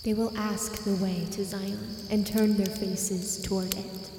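An actress playing a solemn, eerie woman who recites scripture gravely in an audio drama. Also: mid-range voice, little pitch movement, a little slow, close to an everyday voice. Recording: echoey reverberant space, noisy night ambience.

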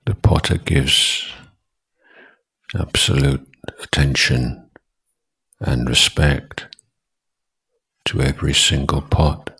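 A man teaching guided meditation as a monk, speaking in short, deliberate phrases with long pauses between them. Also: rumbling low, fairly even intonation, vocal fry, bright, forceful tone, breathy edge.

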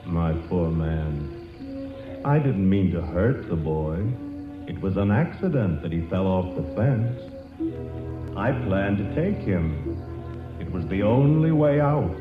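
An actor playing a suave, patient, eerie personification of death in a 1940s radio drama, speaking in a coaxing, formal way. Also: male voice, low-pitched, big pitch swings, takes it slowly, music in the background, heavily acted. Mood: grave, regretful. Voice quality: whispery at times. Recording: muffled, echoey vintage 1940s radio broadcast.

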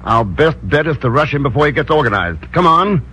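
An actor playing a suave, decisive secret agent, dry and clipped, in 1950s radio drama style. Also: male voice, a little high, very animated, brisk, pushed and bright, breathy edge, exaggerated voice. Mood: cool. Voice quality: dry, clipped, self-assured. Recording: dull old radio broadcast with music. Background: orchestral music.

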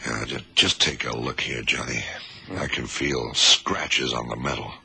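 A methodical FBI investigator in an old radio drama. His voice is rock-bottom low and gravelly, with a hard, sharp edge. He questions deliberately, murmuring as he thinks, over a slightly noisy recording. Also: fairly even intonation, exaggerated voice. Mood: methodical, probing. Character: shrewd, methodical male FBI investigator leading a case. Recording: 1970s radio drama, faint noise.

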